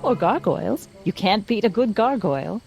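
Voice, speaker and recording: A woman's voice: mid-range voice, animated, moderate pace, creaky, darkish tone. A prim, disapproving lady reporter. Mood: civic-minded. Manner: prim, formal, haughty. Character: prim, priggish, disapproving female small-town reporter and moral crusader. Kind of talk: video game voice acting. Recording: music under the voice.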